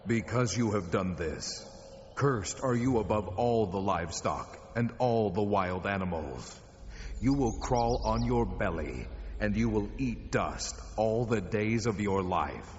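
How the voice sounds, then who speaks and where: A man playing a stern, commanding God in a dramatized audio Bible, solemn and measured. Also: fairly low pitch, lively intonation, a little hiss or hum. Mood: grave, condemning. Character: stern, commanding male God passing judgment. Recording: long hall reverb, dull sound, music behind.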